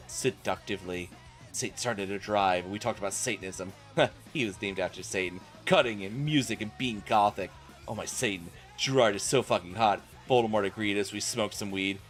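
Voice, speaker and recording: A man's voice: lowish voice, brisk, fairly bright tone. A man doing a comic dramatic reading as a streamer, with exasperated, incredulous asides. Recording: music playing behind the voice. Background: music.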